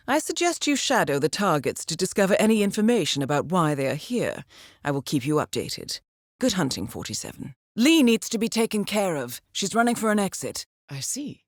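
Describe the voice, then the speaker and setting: An actress playing a composed, dryly witty intelligence handler who briefs an assassin in a measured, formal way for a video game. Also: female voice, on the low side, no echo, clean studio sound. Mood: composed briefing, then dry, wry. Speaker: mission handler briefing an agent. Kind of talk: scripted video game voice acting, mission briefing.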